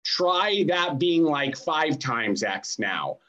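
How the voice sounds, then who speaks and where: A man's voice: on the high side, very animated, slow pace, pushed and bright, lo-fi sound. Rambling, joking podcast host man. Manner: rambling, joking, lots of fillers. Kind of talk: podcast interview.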